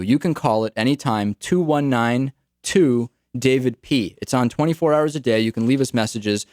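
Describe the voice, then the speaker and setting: A man's voice: medium pitch, darkish tone, dry recording. Young male radio host, casual wry delivery, close dry mic. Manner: casual, wry, repeats words. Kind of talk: talk radio monologue.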